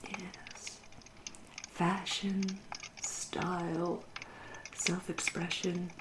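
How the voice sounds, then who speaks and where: A woman with an English accent playing a seductive, wry, refined female vampire in a soft, whispered ASMR roleplay, speaking slowly and formally. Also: on the low side, flat delivery, very noisy. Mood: wry, languid. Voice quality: soft, breathy, often whispering. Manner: slow, lingering, genteel, archly formal. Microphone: close ASMR microphone.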